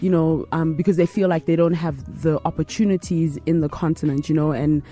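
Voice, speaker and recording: A woman's voice: low-pitched, fairly even intonation, brisk, dark tone, soft background music. A woman hosting an interview, chatty and affirming, with many "you know" fillers as she asks questions. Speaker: interviewer, host. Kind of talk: casual conversational interview.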